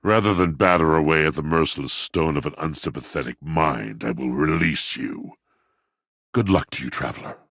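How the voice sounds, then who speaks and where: A very low, rough-edged male game character, a lovelorn lover of poetry. He speaks in grand, florid swells with theatrical pauses. The sound is close and dry. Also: fairly bright tone, no echo, heavily acted. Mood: wistful, resigned. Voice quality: deep, gravelly. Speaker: voice actor in a video game.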